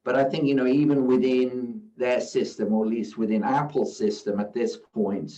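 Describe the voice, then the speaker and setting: A middle-aged man from South Africa, a guest investor giving measured, reflective interview answers and often saying "you know", at a live event. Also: medium pitch, steady tone, mellow. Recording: roomy, distant, dull sound.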